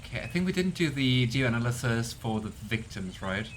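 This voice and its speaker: A young man casually commenting on a game he plays, musing aloud and unsure, often trailing into low mumbles. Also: big pitch swings, normal speed, slight background noise. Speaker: let's play host.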